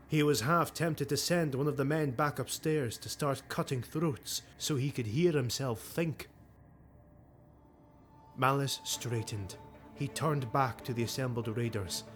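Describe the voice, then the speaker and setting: A man's voice: mid-range voice, a bit bright. A man reading a fantasy audiobook as dramatic scripted narration.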